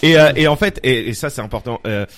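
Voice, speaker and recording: A man's voice: medium pitch, lively intonation, a little fast, a bit bright. A man chatting casually as a podcast host, slangy and hesitant, often repeating himself. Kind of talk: casual chat.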